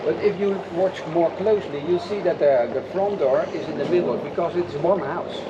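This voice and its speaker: A man guiding a sightseeing tour from a canal boat, speaking haltingly as he searches for words. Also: fairly high pitch, muffled recording, over a noisy crowd. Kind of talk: live guided sightseeing commentary. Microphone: action camera. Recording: noisy outdoor action camera on a boat.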